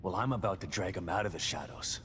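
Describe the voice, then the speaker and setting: A man playing a gruff, weary, determined ex-detective in a survival horror game, speaking tersely and sardonically. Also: low voice, fast talker, a bit bright, slight background noise. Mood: grim.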